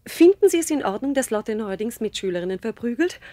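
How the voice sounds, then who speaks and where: A stern, dry-sounding schoolmistress. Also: female voice, a little high, big pitch swings, a little fast, dark tone, dry recording. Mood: reproachful, indignant. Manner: formal, reproachful, precise. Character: stern, indignant, fussy schoolmistress. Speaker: actress in an audio play. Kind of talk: German radio play acting.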